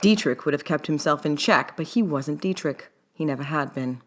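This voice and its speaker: A woman's voice: deep voice, normal speed. A woman reading her own fiction aloud as scripted story narration.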